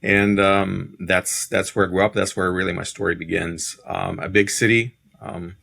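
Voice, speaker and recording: A middle-aged man from Siberia with a Russian accent, telling his life story in a halting, reflective, conversational way as a podcast guest. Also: sits low, flat delivery, vocal fry, fairly bright tone.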